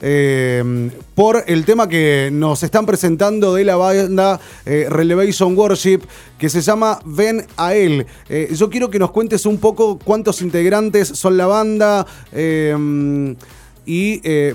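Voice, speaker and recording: A man hosts a radio interview, his voice sitting a little high for a man. He asks his questions loosely, padding them with hesitant fillers and soft murmurs of agreement. Faint music plays behind him. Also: takes it slowly. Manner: relaxed, filler-heavy questioning with many "eh" and "ujú". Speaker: radio host.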